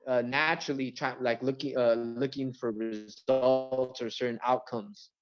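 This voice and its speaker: A man from Mexico and Central California, a rapper, chatting casually in an interview with a halting, repetitive delivery full of filler phrases. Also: mid-range voice, steady tone, highs cut off, over music. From born in Mexico, grew up in Central California. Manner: halting, repeats words, frequent "you know" and "like".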